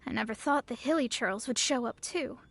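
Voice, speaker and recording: A woman's voice: a little high, brisk, fairly bright tone, dry recording. A woman voicing a worried, hesitant female cart merchant in a game. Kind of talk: game voice acting.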